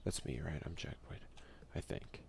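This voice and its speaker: A young man doing a soft-spoken ASMR let's play, often slipping into whispers as he comments and reads out game text close to the microphone. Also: extremely low pitch, normal speed, creaky. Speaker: gaming video creator. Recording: faint noise behind a home recording.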